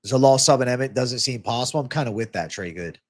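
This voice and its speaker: A man's voice: mid-range voice, expressive, quick pace. A man with a stuffy, congested nose hosting a casual livestream, reading viewer comments aloud in a laid-back, slangy way and swearing freely. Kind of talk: casual livestream Q&A on fight betting.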